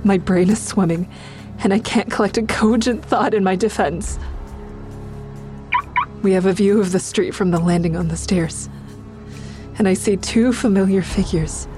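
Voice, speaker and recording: An actress playing a frightened woman who tells her own ordeal in an audio drama, with tense, measured storytelling. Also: mid-range voice, dark tone, natural voice. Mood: tense narration. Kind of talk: dramatic first-person narration and dialogue. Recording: music behind the voice.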